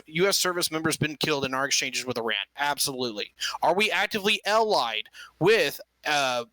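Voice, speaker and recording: Fast, forceful man arguing politics. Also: fairly high pitch, dry recording, poor audio. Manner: emphatic, argumentative. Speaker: debate guest. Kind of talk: political debate on a stream.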